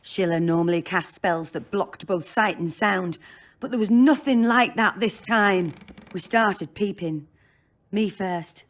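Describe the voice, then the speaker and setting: An actress playing a blunt, earthy, world-weary brothel madam in a fantasy video game, speaking in short bursts. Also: female voice, medium pitch, a little slow, lightly acted. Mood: blunt, defensive.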